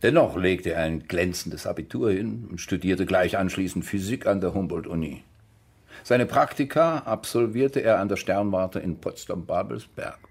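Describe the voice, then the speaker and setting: An actor playing a wry former soldier who recounts his past in colloquial German radio drama monologue, with a gravelly, husky voice. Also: low voice, lively intonation, normal speed, a little mellow, full character voice. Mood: reminiscing, wry.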